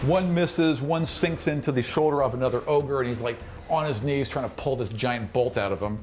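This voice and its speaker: A man improvising colloquial, animated narration as game master of a tabletop fantasy game. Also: medium pitch, moderate pace. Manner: animated, graphic, colloquial storytelling. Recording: noisy room recording. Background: clearly audible background noise.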